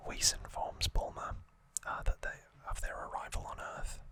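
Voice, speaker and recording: A man speaks in a soft, breath-only whisper with a bright, sharp edge. He hosts ASMR, recapping trivia informally and haltingly, often correcting himself as he explains. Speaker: ASMR host.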